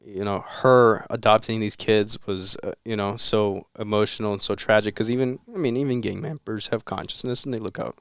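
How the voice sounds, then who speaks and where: A man's voice: on the low side, steady tone, fairly quick, few pauses, clear, resonant tone, no room sound, studio quality. A man hosting a casual podcast, recounting a story in a loose, rambling way with casual swearing. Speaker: podcast host.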